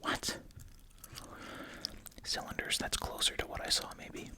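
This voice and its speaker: An ASMR host speaking in a breathy, unvoiced whisper, reading aloud and musing casually. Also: a little slow.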